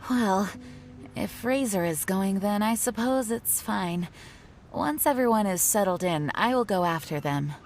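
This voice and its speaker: A woman playing a responsible, kindly young female leader in an anime-style video game, measured and formal. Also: medium pitch, animated, a little mellow, faint music behind. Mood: composed, considerate.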